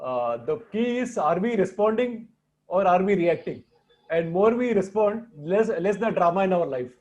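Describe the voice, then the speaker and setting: A man with an Indian accent hosts a casual online talk in a distinctly high voice. He speaks haltingly, pausing mid-sentence as he presents. The audio is dull and lo-fi. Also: expressive, darkish tone, faint music behind. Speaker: host presenting a video platform.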